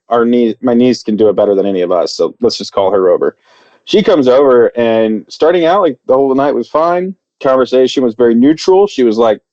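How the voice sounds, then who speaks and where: A man spins a long, meandering story on a podcast, circling back with fillers and half-finished starts. His voice is mellow and dark. The sound is dry and a little muffled. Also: mid-range voice, no room sound. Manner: rambling, many fillers and restarts. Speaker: podcast co-host. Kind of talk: casual storytelling chat.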